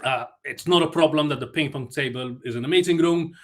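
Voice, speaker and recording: A man's voice: on the high side, fairly quick. A man from Malta giving a conference talk, chatty and self-deprecating, with frequent fillers and restarts. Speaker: conference speaker.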